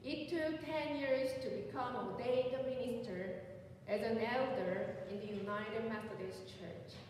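Woman pastor, 40s, Korean accent; slow, earnest sermon, higher voice, heard from far off in a reverberant sanctuary. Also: fairly even intonation, a little mellow, a little hiss or hum. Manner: slow, earnest, personal testimony. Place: church sanctuary, at the pulpit. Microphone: pulpit mic, recorded by a far camera.

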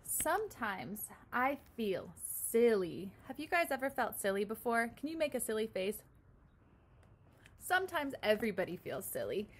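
A woman's voice: on the high side, big pitch swings, normal speed, husky. A woman in her twenties, a pre-kindergarten teacher, reading a picture book aloud and explaining it simply and expressively with questions for young children. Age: 20s. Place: small room at home, indoors.

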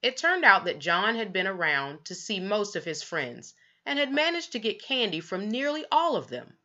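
Animated, bright-voiced woman reading a story. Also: medium pitch, moderate pace, highs cut off. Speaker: children's book narrator. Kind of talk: expressive read-aloud storytelling.

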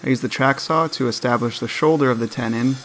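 A man's voice: mid-range voice, quick pace, a bit bright, slight background noise. A man narrating a woodworking build step by step in a plain, matter-of-fact voice-over. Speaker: woodworking channel host.

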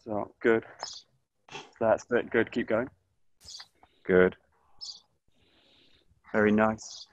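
A man in his thirties teaching an online aikido class, giving calm, short coaching cues outdoors in a grassy orchard, recorded on a camera mic as he moves nearer and farther. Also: fairly low pitch, very slow, pauses often, dull audio. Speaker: aikido instructor. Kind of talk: live online class instruction. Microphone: camera mic, distance varies as he moves.

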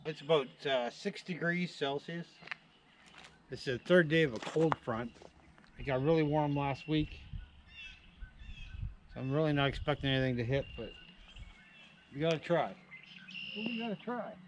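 A man's voice: a little high, moderate pace, pauses often, slight background noise. A middle-aged Canadian man with a Canadian accent, narrating a casual fishing vlog in a folksy, joking way, from his car and from a creek bank. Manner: folksy, joking, thinks aloud with fillers. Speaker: fishing vlogger. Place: inside a car, then outdoors by a creek. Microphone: camera on dashboard, later far camera on the bank.

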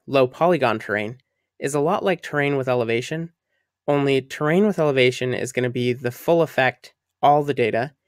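A man narrating a screen-recorded software tutorial, explaining settings in a friendly, upbeat way. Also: medium pitch, no echo, studio quality.

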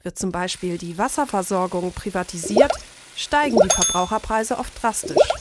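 A woman narrating a scripted explainer in a measured, matter-of-fact way. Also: mid-range voice, expressive, heavy background noise.

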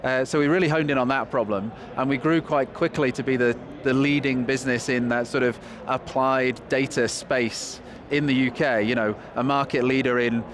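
A man in his thirties with a Northern English accent, answering interview questions quickly and keenly with some fillers, at a convention hall. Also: mid-range voice, fairly even intonation, a bit bright. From England. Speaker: guest, company chief executive. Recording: busy trade show noise behind.

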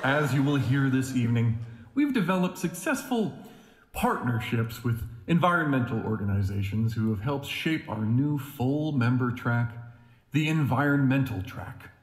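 A man playing a charismatic organization president with veiled menace, giving a deliberate, grandiose podium speech in an audio drama. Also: medium pitch, animated, close to an everyday voice. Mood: promotional. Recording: echoey hall with long reverb.

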